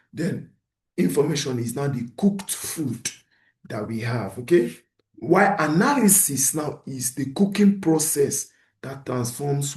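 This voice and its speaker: A man's voice: mid-range voice, roomy sound. A man lecturing beginners, often asking a question and then answering it himself. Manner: animated teacher who poses questions and answers them himself. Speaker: instructor.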